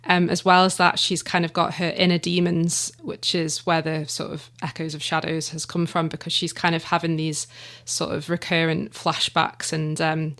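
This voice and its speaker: Woman, interview guest, casual, hesitant, fairly low pitch, even intonation. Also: normal speed. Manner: hesitant, with many ums and restarts. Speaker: guest, audio drama writer.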